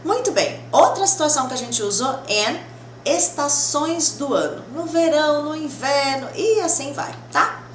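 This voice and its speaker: A woman's voice: sits high, expressive, unhurried. A Brazilian woman teaching English in a conversational, reassuring and didactic way, often checking that learners follow. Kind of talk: conversational grammar lesson to camera. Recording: roomy echo, clear background noise.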